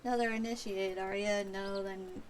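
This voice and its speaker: A woman voicing a gruff, hard-of-hearing old dockside overseer in salty dialect for a game, with clipped words. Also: mid-range voice, steady tone, normal speed, a little hiss or hum, natural voice. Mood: blustery, gruff. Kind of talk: game dialogue read aloud.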